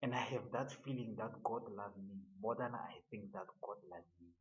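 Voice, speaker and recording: A man giving an earnest motivational monologue, halting and often repeating his words. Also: fairly low pitch, steady tone, dull audio.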